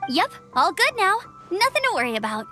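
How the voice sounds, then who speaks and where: Game role: bright, bouncy young scout, cheerful energy, high pitch that rises and falls a lot. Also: female voice, sing-song, brisk, soft background music, heavily acted. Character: spirited young female scout and instructor, upbeat. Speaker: game character. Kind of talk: video game voice acting.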